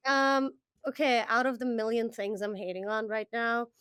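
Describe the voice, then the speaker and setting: A woman's voice: a little high, normal speed, a bit bright, smooth, no room sound, studio quality. A young adult woman from Iran, co-hosting a podcast in casual chat, talking candidly with many fillers and restarts. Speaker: podcast co-host.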